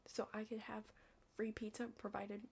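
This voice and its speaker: A young woman chatting casually in an ASMR study roleplay, rambling and hesitant, hushed and slipping into whispers. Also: mid-range voice, little pitch movement, moderate pace, vocal fry, breathy edge. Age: young adult. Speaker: ASMR creator playing a study partner.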